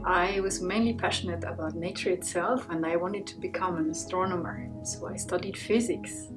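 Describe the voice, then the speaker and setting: A woman's voice: fairly low pitch, normal speed, music in the background, low-quality recording. A woman marine ecosystem modeler, talking plainly in an interview about how she came to her field. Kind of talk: documentary interview.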